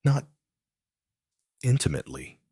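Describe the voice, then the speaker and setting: A man voicing a reserved, tactful middle-aged gentleman in an audiobook, hesitant and politely guarded. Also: medium pitch, sing-song, a little slow, breathy edge, no room sound, clean studio sound, voice pushed up, heavily acted. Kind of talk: audiobook character dialogue.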